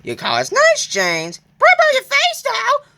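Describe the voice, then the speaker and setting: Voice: extremely high pitch, wildly swooping pitch, measured pace, creaky, bright, forceful tone, voice pushed up, heavily acted. A reader voicing a mischievous, taunting freight car in a children's storybook, in a mocking manner.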